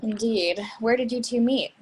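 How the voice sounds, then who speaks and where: A woman's voice: a little high, moderate pace, darkish tone, slight background noise, close to an everyday voice, low-quality recording. A woman acting a suspicious, snobbish middle-aged mother in a play reading, cutting and condescending.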